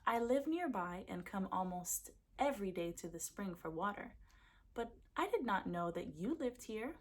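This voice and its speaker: A woman's voice: medium pitch, animated, moderate pace, natural voice. A woman voicing a polite, friendly young village girl in a children's folktale. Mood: grateful, friendly.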